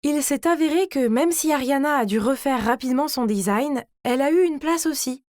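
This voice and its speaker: A woman voicing a vain, scheming teenage girl who tells her own animated story in a smug, theatrical way. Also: high-pitched, brisk, dry recording, studio quality, close to an everyday voice. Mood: scheming, indignant. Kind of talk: dramatized first-person story narration.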